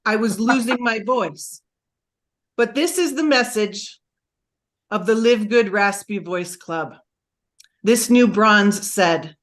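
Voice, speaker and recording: A woman giving an excited, energetic motivational talk on a team video call. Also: mid-range voice. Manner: energetic, enthusiastic, storytelling. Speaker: presenter on a team training call.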